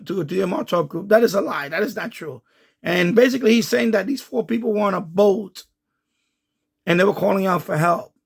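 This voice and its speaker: A man talks casually and at length, circling back and repeating himself as he goes. His voice sits high and sounds gravelly, with a husky edge. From United States. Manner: rambling, repeats himself, frequent restarts. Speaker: ham radio channel host. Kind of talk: casual commentary to camera.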